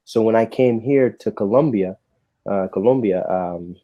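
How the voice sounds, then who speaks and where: Low-voiced American man, mellow and muffled. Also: unhurried. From United States. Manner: patient, encouraging, informal. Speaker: English conversation tutor. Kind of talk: casual conversational lesson.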